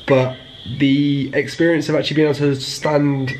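A man's voice: a little high, level tone, moderate pace, a little mellow, slight background noise, poor audio. A man in his twenties chatting casually and informally to a handheld camera as a travel vlogger. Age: 20s. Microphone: camera at arm's length.

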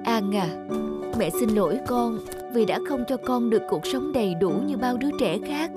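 A woman's voice: on the high side, lively intonation, normal speed, close to an everyday voice. Lady doing devoted single mom who's suffered a lot, cartoon drama, music behind. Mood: sorrowful, resolute. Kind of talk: melodramatic cartoon dubbing.

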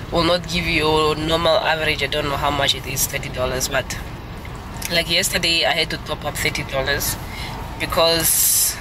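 A woman, chatting casually to her vlog camera from inside a car, thinking aloud as she talks. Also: deep voice. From Namibia. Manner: chatty, self-questioning, laughs easily. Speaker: vlogger and small restaurant owner. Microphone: phone at arm's length. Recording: loud traffic noise in a car.

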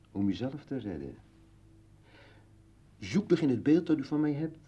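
Slow, husky brooding old man. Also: fairly low pitch, dark tone, full character voice. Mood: bitter, accusing. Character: brooding old man, philosophical and bitterly ironic. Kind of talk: theatrical radio play monologue. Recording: dull vintage radio drama recording.

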